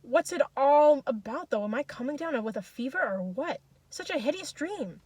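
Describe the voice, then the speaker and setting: A woman voicing an anguished, self-doubting young man in a story, with agitated, halting, repeated questions. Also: high voice, lively intonation, a little mellow, no room sound, voice pushed up. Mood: troubled. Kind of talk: audiobook-style dramatic reading.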